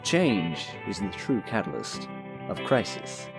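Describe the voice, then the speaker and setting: A man's voice: low voice, sing-song, slow, music in the background, heavily acted. A man playing a jaded, condescending nobleman who speaks in a curt, dry, analytical way in a dramatized fantasy audiobook. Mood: musing, analytical.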